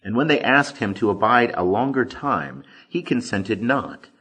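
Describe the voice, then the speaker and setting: A man reading scripture as scripted audiobook narration, with expressive, deliberate emphasis. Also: lowish voice, breathy edge. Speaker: scripture reader.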